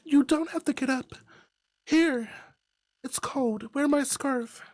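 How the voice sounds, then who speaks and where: A woman's voice: sits high, takes it slowly, a little mellow, dry recording, voice pushed up. A woman voicing a polite, worried and dutiful daughter in a visual novel. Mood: anxious, tender.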